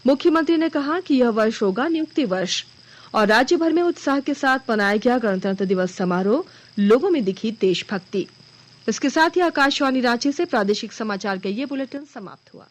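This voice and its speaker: High-pitched woman reading radio news. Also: lively intonation, brisk. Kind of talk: formal scripted regional news bulletin. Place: radio studio.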